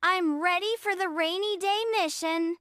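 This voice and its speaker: A brave, kind little princess, played by a woman for a bedtime story. The voice is very high and bright, speaking slowly and earnestly with sweet reassurance. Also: no room sound, clean studio sound. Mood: curious, eager. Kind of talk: children's bedtime story character voice.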